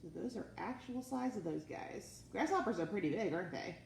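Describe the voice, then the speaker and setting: Animated woman leading children's story time. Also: mid-range voice, a little fast, creaky. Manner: singsong, encouraging, addressing small children. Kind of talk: children's read-aloud and chat to young viewers. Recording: roomy, distant mic, faint background noise.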